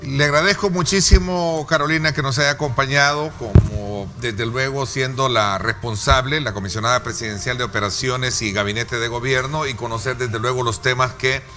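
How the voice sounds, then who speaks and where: A man with a Salvadoran accent hosting a political interview in a formal, wordy and halting manner. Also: medium pitch, fairly even intonation, music in the background. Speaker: talk show host.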